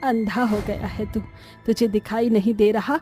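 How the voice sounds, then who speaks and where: A woman's voice: mid-range voice, flat delivery, normal speed, dark tone, faint music behind, lightly acted. A woman voicing a cruel, taunting elderly mother-in-law who scolds harshly in a family story cartoon. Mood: bitter, scolding. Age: older.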